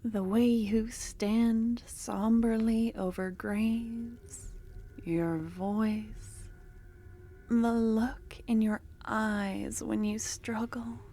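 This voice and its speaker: Woman voicing an obsessive female cultist, possessive, doting, soft murmur and whispers, slightly high voice, mellow tone. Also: steady tone, extremely slow, full character voice. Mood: curious, doting. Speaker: ASMR roleplay performer. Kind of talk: ASMR roleplay.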